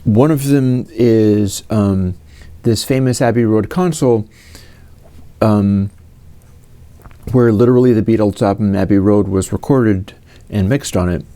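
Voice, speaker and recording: A man's voice: on the low side, measured pace, long pauses, mellow. A man in his fifties from the United States, answering viewer questions in a casual, rambling way with many fillers and restarts. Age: 50s.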